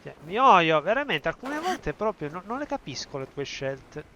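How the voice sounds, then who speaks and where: A man's voice: high voice, sing-song, moderate pace, bright, forceful tone, highs cut off, slight background noise. A man with an Italian accent giving casual live commentary as a game streamer.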